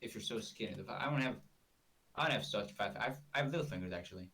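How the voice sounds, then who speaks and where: A man's voice: fairly low pitch, lively intonation, fast, bright, forceful tone. A man from Romania, hosting a casual live stream in a rambling, self-correcting way, a bit far from the mic. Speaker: streamer host.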